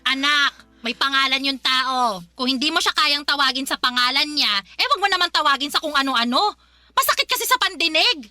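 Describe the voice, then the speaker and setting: A stubborn mother blinded by love in a radio drama. The voice is high and extremely bright, dramatic and defensive, with faint music behind it. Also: lively intonation, brisk, no room sound, exaggerated voice. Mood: mildly reproachful. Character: stubborn, lovestruck middle-aged mother. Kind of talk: Filipino radio drama acting.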